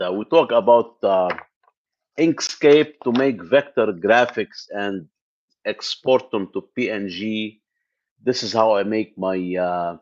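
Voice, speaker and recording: Brief: male tech host from Lebanon, native Arabic speaker, lowish voice, loose live-stream chat full of "uh" and restarts, muffled lo-fi audio. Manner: rambling, many fillers and restarts. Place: home in the US Midwest.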